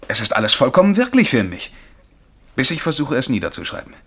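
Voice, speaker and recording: An actor playing a dreamy, excitable young male bank clerk and would-be poet in a German radio play, fervent and absorbed as he describes things vividly. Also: mid-range voice, quick pace, slightly rough, exaggerated voice. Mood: excited, insistent. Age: young adult.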